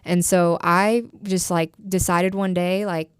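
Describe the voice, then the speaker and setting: Thirties woman guest, lowish smooth voice, dry close sound, just talking it out, says like a lot. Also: level tone, unhurried, no room sound. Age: 30s. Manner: frank, rambling, heavy use of filler "like". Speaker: podcast guest. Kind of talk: candid personal storytelling.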